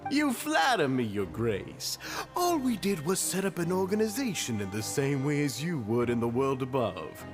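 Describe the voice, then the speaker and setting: A deferential club leader in a fantasy game. He is earnest and effusively polite, with a very animated pitch over background music. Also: normal speed. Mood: earnest, honored. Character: deferential, earnest male head of a reform society. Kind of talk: scripted game dialogue.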